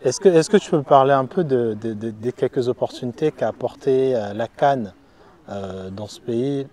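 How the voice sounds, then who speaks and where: Mellow-voiced man interviewing casually. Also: medium pitch, normal speed. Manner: long, meandering questions.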